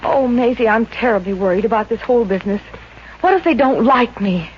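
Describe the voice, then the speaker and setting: A woman playing a high, nervous young heiress. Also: fairly quick, slight background noise, lightly acted. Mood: worried. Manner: breathless, excitable, giggly. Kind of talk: 1950s radio comedy acting. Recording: dull old radio transcription.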